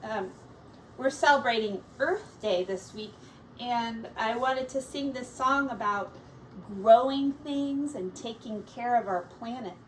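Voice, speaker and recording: A woman teacher reading a children's story aloud, explaining things gently and simply as she goes. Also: a little high, slow pace, distant mic, slight background noise, rough recording.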